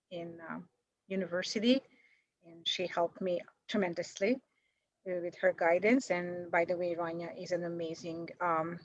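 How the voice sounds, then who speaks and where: A woman's voice: lowish voice, fairly bright tone, muffled recording. A woman in her forties with a Hungarian accent, talking as a guest in an interview, chatty and self-deprecating, with lots of fillers and restarts. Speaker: guest, paralegal. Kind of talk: interview over video call.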